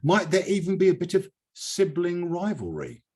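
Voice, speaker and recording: An older British man hosts a poetry event in a chatty, digressive way. His pitch moves animatedly up and down, and his voice has a slightly rough, husky grain. He leans on repetition to make his points. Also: very animated, moderate pace, darkish tone. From Britain. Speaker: host of a poetry reading. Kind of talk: informal event hosting, reading out written replies.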